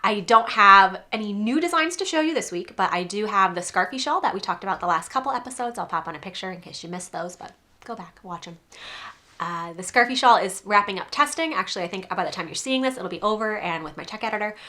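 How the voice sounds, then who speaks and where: Woman crochet vlogger with a slightly congested voice from a cold, bright and chatty, rambling and laughing as she goes. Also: mid-range voice, lively intonation, quick pace. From New England. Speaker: crochet designer and podcast host. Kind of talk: casual chat to camera.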